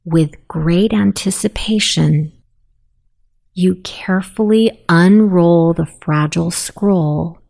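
A woman narrating a guided sleep meditation in a soothing, softly spoken, unhurried manner. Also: fairly low pitch, vocal fry.

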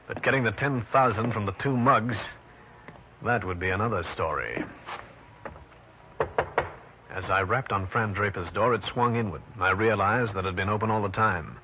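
An actor playing a tough, wisecracking private detective in an old-time radio drama, brusque, slangy and sardonic. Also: male voice, fairly low pitch, normal speed, close to an everyday voice. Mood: matter-of-fact narrating. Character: tough, wisecracking New York private detective, brash and hard-boiled. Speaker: lead actor and narrator. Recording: noisy, muffled old radio recording.

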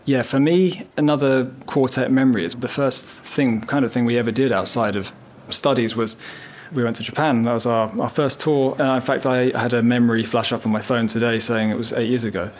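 A man's voice: mid-range voice, steady tone, fairly quick, few pauses, a little hiss or hum. A man in his thirties, a viola player, chatting in an interview and telling long, self-deprecating anecdotes. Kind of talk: casual interview chat.

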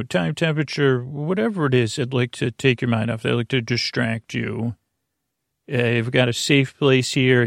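Creaky-voiced man rambling as a podcast host. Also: medium pitch, no echo, studio quality. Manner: meandering, halting, drawn-out delivery with asides. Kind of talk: rambling bedtime sleep podcast monologue.

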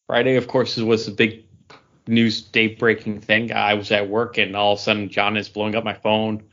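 A man with a fairly low voice hosts a relaxed chat among friends. He talks like an enthusiastic fan. The audio is a little dull and lo-fi. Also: moderate pace. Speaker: podcast host.

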